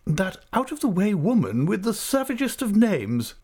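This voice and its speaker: A man reading an audiobook, voicing a brisk, stern but fond elderly aunt in a raised, emphatic voice. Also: very animated, a little mellow, slightly rough, exaggerated voice. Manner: emphatic, decisive, high-pitched and commanding. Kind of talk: audiobook character voice.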